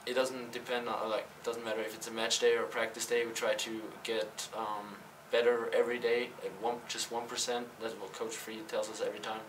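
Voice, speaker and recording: Young man, low flat voice, hesitant. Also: brisk, few pauses. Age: young adult. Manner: hesitant, many fillers and restarts. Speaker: college tennis player. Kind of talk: post-game press interview. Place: press backdrop room. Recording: slightly distant, faint room noise.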